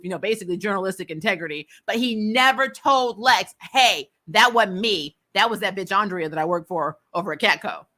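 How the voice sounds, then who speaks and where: A woman hosting a casual, opinionated recap show, talking in a blunt, animated and emphatic way. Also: medium pitch. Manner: animated, blunt, freely swearing.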